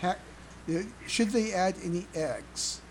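A woman hosting a casual fan podcast, speaking in a hesitant, halting way on an amateur recording. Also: on the low side, lively intonation, background noise. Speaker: podcast host.

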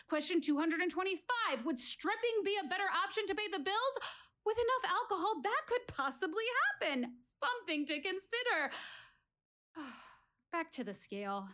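A high-pitched female voice performed by an actress in a one-woman audio play. She is candid, wry and exasperated, speaking straight to her audience like a presenter, with emphatic delivery and theatrical pauses. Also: a bit bright. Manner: emphatic, addresses audience directly, dramatic pauses.

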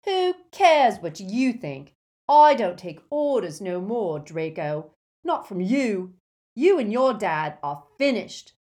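A woman voicing a slow-witted, hulking teenage schoolboy bully in an audiobook, blunt and ungrammatical. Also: a little high, huge pitch swings, voice pushed up. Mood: ferocious, defiant. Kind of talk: audiobook character voice.

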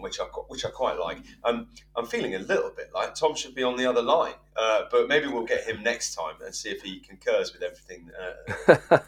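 A man's voice: on the low side, fairly bright tone. Chatty male podcast host, roomy sound. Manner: chatty, friendly, laughs easily. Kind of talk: casual interview chat. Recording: roomy, distant mic with faint hum.

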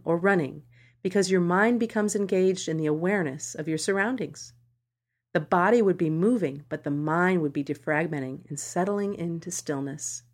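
A woman podcast host reading scripted meditation guidance in a measured, instructional manner. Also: fairly low pitch. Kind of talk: scripted narration of a meditation article.

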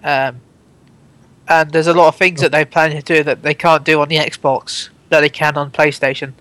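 A man's voice: a little high, little pitch movement, moderate pace, bright, forceful tone, no echo. A man in his twenties co-hosting a gaming podcast, rambling and restarting his sentences. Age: 20s. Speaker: podcast co-host. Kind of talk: casual gaming podcast chat.

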